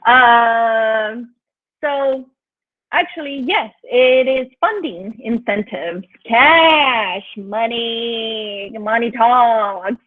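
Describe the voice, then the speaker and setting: A woman's voice: on the high side, extremely slow, no room sound. A woman from Mexico with a Mexican accent, leading an online teaching workshop for teachers in a chatty, encouraging way with plenty of filler sounds. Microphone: video call. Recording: tinny, dry video-call audio.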